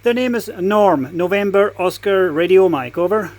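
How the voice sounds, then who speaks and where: High-voiced New Zealand man over heavy noise. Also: animated, moderate pace. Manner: friendly, informal. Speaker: amateur radio operator. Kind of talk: ham radio contact, call signs and phonetic spelling.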